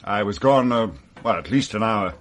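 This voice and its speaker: An actor playing a haughty titled gentleman in a radio mystery drama, formal, guarded and defensive. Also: on the low side, moderate pace, close to an everyday voice. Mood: guarded, uneasy.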